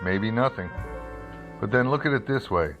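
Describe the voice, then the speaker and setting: An actor playing a hard-boiled, world-weary investigative reporter in an old-style radio drama, clipped, wry and matter-of-fact. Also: male voice, fairly low pitch, expressive, moderate pace, muffled recording, music in the background, lightly acted. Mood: wry narrating. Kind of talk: old-time radio detective drama acting and narration.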